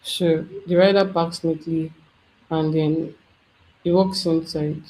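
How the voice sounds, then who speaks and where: An instructor talking through a software tutorial step by step, thinking aloud as they work. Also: medium pitch, level tone, slow, rough recording. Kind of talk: screen-share software tutorial.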